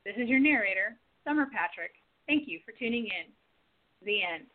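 A woman narrating an amateur radio play, announcing its scenes and the cast clearly. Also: mid-range voice, animated, moderate pace. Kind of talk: scripted narration. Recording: tinny phone-like sound.

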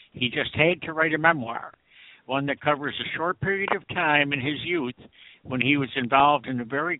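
Guy co-host on radio, kinda high voice, sounds scripted, dry phone line. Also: no echo. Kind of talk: prepared interview introduction.

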